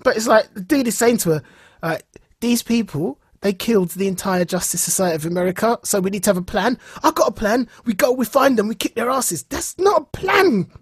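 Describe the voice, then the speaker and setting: Husky-voiced male podcast host, close and dry. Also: high voice, fairly quick, dry recording. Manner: animated storytelling, acts out reported dialogue. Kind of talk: casual enthusiastic podcast chat.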